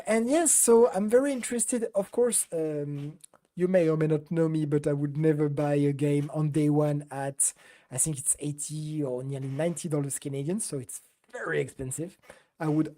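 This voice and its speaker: Low, mellow-voiced middle-aged woman. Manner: hesitant, many fillers, loose grammar. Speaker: podcast co-host. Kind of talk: casual gaming chat.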